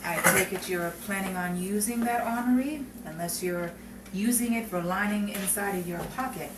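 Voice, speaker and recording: A woman's voice: medium pitch, normal speed, close to an everyday voice. A woman playing a sensible, stern elder sister who works as a bank clerk in a 1950s crime melodrama, with formal, indignant phrasing. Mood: defiant. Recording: echoey, distant, noisy room recording.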